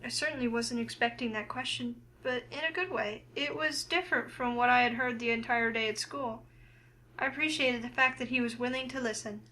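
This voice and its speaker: High, bright-voiced woman reading expressively. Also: brisk, poor audio. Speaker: game player and narrator. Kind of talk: reading a visual novel aloud, narration and heroine's lines acted.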